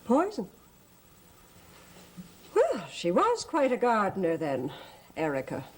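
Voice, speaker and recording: Slow, dramatic worldly stage diva. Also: female voice, medium pitch, wildly swooping pitch. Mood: measured, soothing. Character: worldly, theatrical stage actress in love. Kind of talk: 1960s-70s gothic TV soap acting.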